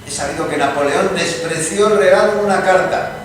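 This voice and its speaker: A man playing a learned, scornful gentleman in a dramatized radio-play reading, with formal, declamatory delivery. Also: a little high, fairly quick, natural voice. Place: live stage reading in a hall. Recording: distant echoey hall, clear noise.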